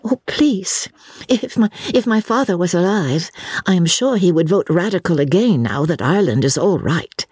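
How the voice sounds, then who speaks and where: A woman as an earnest young English lady, lively pitch. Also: slightly rough, dry recording, clean studio sound. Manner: eager, proper, slightly flustered.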